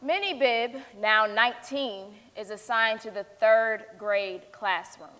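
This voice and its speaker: A woman's voice: on the high side, takes it slowly, fairly bright tone. A young woman giving a scripted dramatic reading as narrator on a theatre stage. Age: young adult. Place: large indoor theatre stage. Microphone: lapel. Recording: echoey theatre hall.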